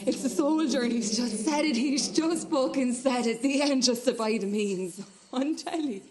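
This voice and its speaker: An actress playing a shaken, obsessive witness, delivering an intense and halting radio drama monologue with repeated phrases. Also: female voice, high voice, a crowd in the background, natural voice. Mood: agitated. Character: shaken, obsessive witness recounting violent footage.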